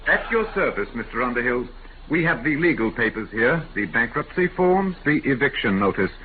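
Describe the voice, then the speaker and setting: A man's voice: mid-range voice, sing-song, lightly acted. Man voicing a coldly polite robot servant, tinny old radio. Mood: politely firm. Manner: formal, measured, unfailingly courteous. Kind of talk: 1950s radio drama acting. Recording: tinny, noisy 1950 radio recording.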